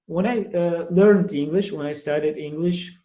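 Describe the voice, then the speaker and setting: A young man with a Kazakh accent speaks in a fairly high male voice. He hosts a casual podcast interview, halting and searching for his words as he goes. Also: dark tone, lo-fi sound. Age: young adult. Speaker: podcast host.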